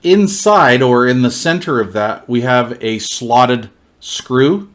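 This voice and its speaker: A man's voice: medium pitch, slow pace, fairly bright tone. A man reviewing gear hands-on in a casual, informal, folksy way, often repeating his phrases.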